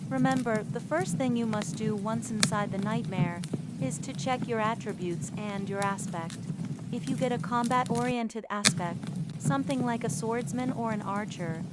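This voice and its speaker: A woman's voice: fairly high pitch, normal speed, heavy background noise, natural voice. A woman voicing a stern, weary old male policeman who gives grave instructions in an audiobook. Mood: patient, instructing. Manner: grave, instructing, clipped warnings.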